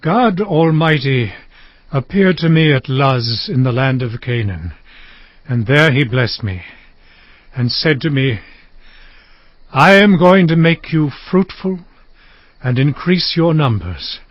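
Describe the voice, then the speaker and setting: A man playing a dying aged patriarch in a dramatized audio Bible, solemn and tender, speaking in halting phrases. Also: mid-range voice, expressive, no echo, muffled recording. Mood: solemn, reminiscing. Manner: slow, halting, solemn delivery.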